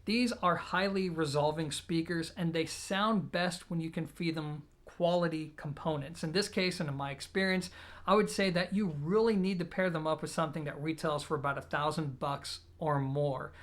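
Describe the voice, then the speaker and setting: A man with a slightly high voice, talking through a product review. His delivery is steady and relaxed, explanatory and unhurried in tone. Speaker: audio equipment reviewer.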